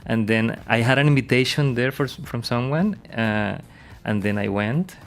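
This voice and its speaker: A man, a university professor chatting casually in English with many pauses and fillers. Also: mid-range voice, smooth. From Colombia. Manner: hesitant, many fillers and restarts.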